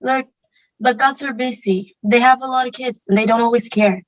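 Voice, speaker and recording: A woman's voice: a little high, fairly even intonation, normal speed, close to an everyday voice. Woman as cool teenage boy, wry, stage script reading, lightly muffled sound. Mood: wry, reassuring. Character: Luke, cool bad-boy teenage camp counselor, wry. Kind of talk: online table read of a stage musical.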